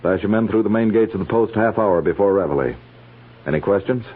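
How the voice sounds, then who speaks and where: A man's voice: on the low side, brisk, slightly rough, phone-quality sound. An American man, middle-aged, playing a stern, by-the-book cavalry major, formal and authoritative, in 1950s radio western acting. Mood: grudging, firm. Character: stern, by-the-book older male army major. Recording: thin band-limited old radio recording.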